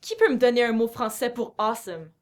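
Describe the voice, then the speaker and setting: Bright, lively young woman. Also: a little high, brisk. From Manitoba, Canada. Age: young adult. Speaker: youth project member. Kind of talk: scripted sketch delivery.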